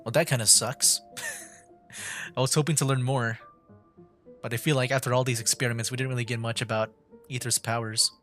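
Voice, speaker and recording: A man's voice: mid-range voice, steady tone, brisk, long pauses, a bit bright. A man livestreaming a game with casual, laid-back commentary, thinking aloud as he plays. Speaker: game streamer. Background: game music.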